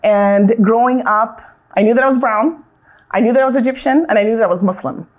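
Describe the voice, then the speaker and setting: Woman, American accent, thirties, slightly high pitch, earnest, reflective storytelling, restarts and fillers. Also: a little fast. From Southern California. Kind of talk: personal reflection, casual storytelling.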